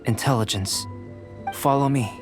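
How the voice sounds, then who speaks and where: A man playing a young alchemist-researcher in a video game, measured, formal and contemplative, with polite detachment. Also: medium pitch, close to an everyday voice. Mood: calm, courteous. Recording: music behind the voice. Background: game music.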